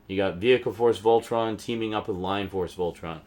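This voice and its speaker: A man's voice: fairly low pitch, fairly quick, noisy background. A man giving casual, unscripted show-and-tell commentary as a vlogger, rambling along with a fan's enthusiasm. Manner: rambling, enthusiastic fan talk. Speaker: vlogger, collector showing DVD purchases.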